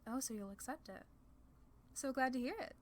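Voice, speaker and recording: A woman's voice: high-pitched, normal speed, a little hiss or hum, close to an everyday voice. A woman voicing a playful, proud demon ruler of hell in a casual, sardonic ASMR roleplay. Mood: persuasive, businesslike, then pleased, gracious. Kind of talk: ASMR roleplay audio drama.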